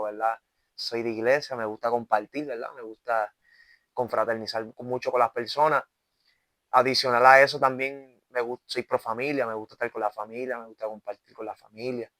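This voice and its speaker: A man's voice: mid-range voice, fairly bright tone, no echo. A man in his twenties with a Puerto Rican accent, an evangelist giving his testimony in an interview, earnest, tagging his sentences with "¿verdad?". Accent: Puerto Rican Spanish. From Puerto Rico. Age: 20s. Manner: earnest, with constant "¿verdad?" tags and restarts. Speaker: evangelist guest.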